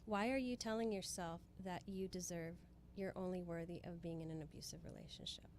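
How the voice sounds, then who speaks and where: A woman's voice: fairly low pitch, steady tone, brisk, a little mellow, slight background noise. A woman from Hawaii giving earnest, emphatic motivational explanations as a guest in a conversational interview. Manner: earnest, emphatic, speaks in repeated phrases. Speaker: spiritual guest being interviewed.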